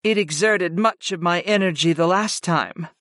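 A narrator putting on a high, yearning succubus servant. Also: normal speed, a bit bright, no echo, clean studio sound, natural voice. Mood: hesitant, pained. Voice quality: high, sighing, purring. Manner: submissive, pleading, wounded. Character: devoted, yearning female winged succubus servant.

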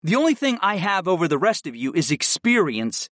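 Casting: male summoning teacher man acting, firm, caring, instructive, lively inflection, fantasy audiobook. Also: high-pitched, fairly quick, no echo, studio quality, voice pushed up. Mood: stern.

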